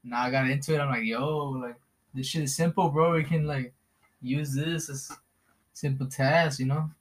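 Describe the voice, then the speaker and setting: A young man sits in as a podcast guest, speaking in a mid-range voice. His replies are short and low-key, loaded with "bro" and casual cursing. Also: fairly even intonation, moderate pace. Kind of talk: casual chat with heavy swearing.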